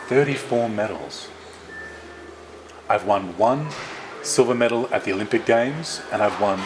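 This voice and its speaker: Low-voiced male interpreter, measured. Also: background noise. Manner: measured, careful phrasing with small restarts. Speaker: sign language interpreter voicing both sides.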